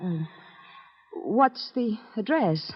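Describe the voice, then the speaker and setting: Hesitant, slow-spoken radio drama companion. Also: female voice, medium pitch, lively intonation, breathy edge, heavily acted. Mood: nervous. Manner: hesitant, halting. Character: hesitant, anxious woman, the detective's companion. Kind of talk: 1940s radio drama acting. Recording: muffled, noisy old radio recording with clicks.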